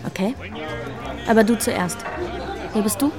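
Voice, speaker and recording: Actress as a high-voiced, brash young drifter woman. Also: a crowd in the background, natural voice. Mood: wary, coaxing. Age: young adult. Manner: slangy, halting, casual. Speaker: actor. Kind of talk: naturalistic noir radio drama dialogue. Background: music behind the voice.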